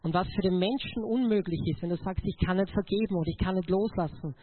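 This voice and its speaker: High-pitched Austrian man preaching, phone-like sound. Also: quick pace, slight background noise. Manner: emotional, emphatic, addresses listeners directly. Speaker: motivational speaker giving a testimony.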